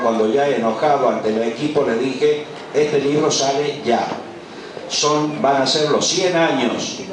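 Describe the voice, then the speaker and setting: A middle-aged or older Argentine man, an author and professor, giving an informal public speech at a book presentation, with an emphatic manner and rhetorical questions. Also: mid-range voice. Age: middle-aged or older, over 50. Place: large colonial patio at a public event. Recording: echoey, distant, noisy live event recording.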